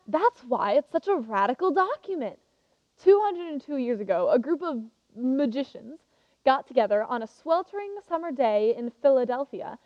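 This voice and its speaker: A woman playing an eager, passionate teenage girl giving an earnest, emphatic contest speech in a theatrical monologue. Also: high-pitched. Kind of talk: theatrical monologue as prepared oration. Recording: muffled, reverberant hall recording.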